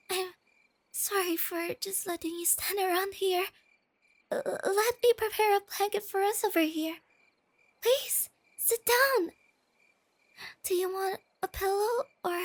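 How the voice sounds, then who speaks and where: Very high-voiced shy nerdy girlfriend. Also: no echo, heavily acted. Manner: hesitant, stammering, bashful. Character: shy, nerdy, easily flustered young girlfriend on a night date. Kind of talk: soft-spoken ASMR roleplay. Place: close dry recording with night insects behind.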